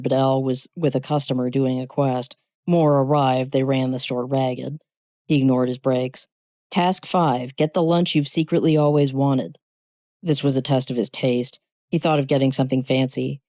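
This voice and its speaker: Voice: mid-range voice, warm tone, no echo. A narrator reading a scripted short story.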